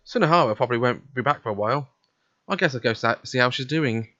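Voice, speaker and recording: A man's voice: mid-range voice, lively intonation, fast, pushed and bright, soft background music. A man reading first-person visual novel narration aloud as the narrator. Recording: dull, muffled home recording.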